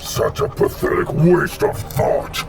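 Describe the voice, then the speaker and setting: An actor playing a proud, pious alien brute chieftain with a formal, pompous delivery in a sci-fi audio drama. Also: male voice, sits high, wildly swooping pitch, slow, creaky, very noisy, heavily acted. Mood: menacing.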